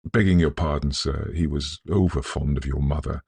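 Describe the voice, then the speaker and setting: A man's voice: rumbling low, steady tone, moderate pace, gravelly, darkish tone, breathy edge, dry recording, exaggerated voice. A man voicing a humble, kindly working-class night watchman in an audiobook, anxious and deferential. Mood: troubled, respectful. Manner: deferential, concerned.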